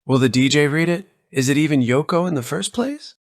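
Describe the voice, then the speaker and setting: An actor playing a lovelorn office worker around thirty in a manga dub, brooding and tentative, with a gravelly voice. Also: male voice, fairly high pitch, expressive, a little fast, no echo, studio quality. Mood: anxious. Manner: brooding, tentative self-questioning. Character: lovelorn, hesitant office worker in his late twenties. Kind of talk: anime-style dub inner monologue.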